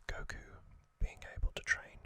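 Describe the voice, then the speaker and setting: A man hosting an ASMR video, whispering a casual, opinionated fan recap with frequent pauses and ums. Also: sharp tone, dry recording. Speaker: ASMR host.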